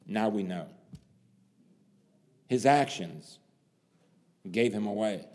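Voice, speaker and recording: Slow, dramatic preacher man in a hall. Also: lowish voice. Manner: dramatic, swings from hushed to loud emphasis, rhetorical questions. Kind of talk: sermon. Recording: long hall reverb.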